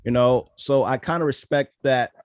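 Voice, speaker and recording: A relaxed man co-hosts a casual podcast interview, agreeable in his replies. His mid-pitched voice is recorded dry, with no room sound. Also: moderate pace, smooth, dry recording. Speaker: podcast co-host.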